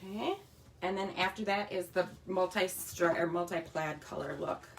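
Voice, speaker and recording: A woman's voice: lowish voice, normal speed, slight background noise. Chatty woman demonstrating a craft kit. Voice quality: slightly raspy. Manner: chatty, talks while working with her hands. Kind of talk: casual crafting tutorial. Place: home craft room.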